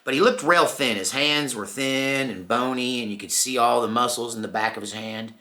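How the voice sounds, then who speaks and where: A middle-aged American man telling personal stories informally, in a folksy, reflective manner with unhurried pauses. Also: medium pitch, sharp tone. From Texas, United States. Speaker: storyteller, channel host.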